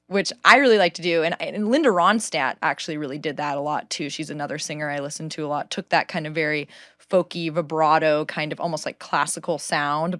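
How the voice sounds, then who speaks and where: A woman from California with a low alto voice, chatting casually as a guest and laughing often. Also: a bit bright, no echo, clean studio sound. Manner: chatty, many "like"s and frequent laughs. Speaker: singer-songwriter guest. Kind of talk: casual interview chat.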